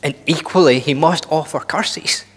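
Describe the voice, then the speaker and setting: A man in his thirties preaching a sermon in a church hall on a headset mic, earnest and deliberate, often restarting and building emphasis. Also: a little high, a bit bright, slightly rough, faint music behind. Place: church hall with long reverb.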